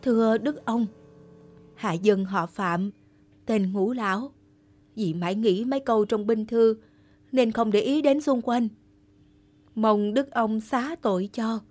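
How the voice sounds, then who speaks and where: A woman voicing a humble, earnest young male peasant in an animated folktale, respectful and formal. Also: on the high side, takes it slowly, pauses often, soft background music.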